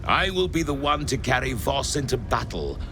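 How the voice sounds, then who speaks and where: A man's voice: medium pitch, very animated, moderate pace, over music. An actor voicing a proud, ancient male dragon in a video game, solemn and defiant, speaking in grand declarations. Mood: proud, menacing. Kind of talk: video game cutscene acting.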